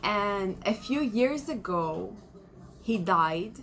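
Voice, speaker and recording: A woman in her twenties from Israel, a native Hebrew speaker with an Israeli accent, talking reflectively in a casual vlog and often pausing with fillers. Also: medium pitch, lively intonation, fairly bright tone. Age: 20s. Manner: reflective, searching for words with many fillers. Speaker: vlogger. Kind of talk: casual personal vlog monologue.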